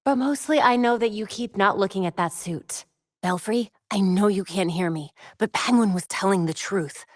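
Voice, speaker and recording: Game casting: young female vigilante hero, played by an actress, determined and wry, focused conversational delivery, mid-range pitch, very dry recording. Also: moderate pace, dry recording, lightly acted. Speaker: video game voice actor. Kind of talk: video game character dialogue lines.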